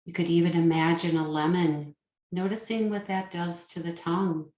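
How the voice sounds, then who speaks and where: A woman leading a guided meditation as a teacher, soothing and unhurried, pausing between short phrases. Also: on the low side, steady tone, a little mellow. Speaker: yoga nidra meditation teacher. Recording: roomy, echoing sound.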